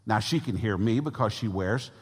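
A man preaching a sermon to his congregation, with a big voice that swings between loud and soft emphasis. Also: on the low side, moderate pace, slightly rough. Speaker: pastor. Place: church sanctuary. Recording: long reverb of a large church hall.

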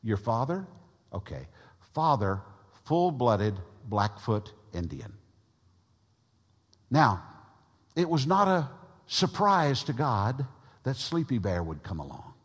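A man preaching a sermon to his congregation, with a big voice that swings between loud and soft emphasis. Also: mid-range voice, sing-song, slow pace, pauses often, slightly rough. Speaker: pastor. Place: church sanctuary. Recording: long reverb of a large church hall.

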